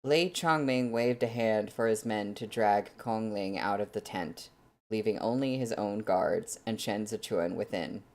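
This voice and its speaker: Slow-paced readalong host with a mid-range voice. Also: mid-range voice, a little mellow. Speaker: host of a livestreamed book readalong. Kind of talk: casual livestream chat and narrated book reading.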